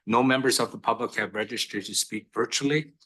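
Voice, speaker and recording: A man serving as mayor, chairing a council meeting with brisk, formal procedure and a little light humor. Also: mid-range voice, steady tone, sharp tone, husky. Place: council chamber.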